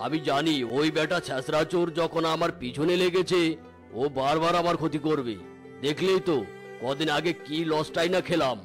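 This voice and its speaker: A man playing a tiger farmer husband in an animated fairy tale, a homely character who is wary yet dismissive and fond of his wife. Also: on the high side, normal speed, faint music behind, natural voice. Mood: wary, considering. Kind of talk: Bengali animated fairy-tale cartoon dialogue.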